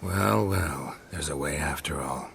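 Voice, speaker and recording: An actor playing a stoic, dry-witted monster hunter in a video game, laconic and sardonic. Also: male voice, very deep voice, a little slow, gravelly, a bit bright, a little hiss or hum, exaggerated voice. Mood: wry, questioning. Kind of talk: scripted video game acting.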